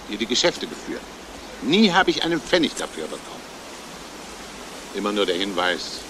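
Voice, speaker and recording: An actor playing a despairing, self-justifying schoolteacher in a halting, brooding confession, in a crime radio play. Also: male voice, low-pitched. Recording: noisy old radio play recording.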